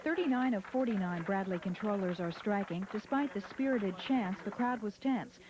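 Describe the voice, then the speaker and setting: A woman's voice: medium pitch, animated, normal speed. A woman with an American accent narrating a scripted TV field report. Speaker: TV news reporter. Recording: clear background noise, archival TV audio.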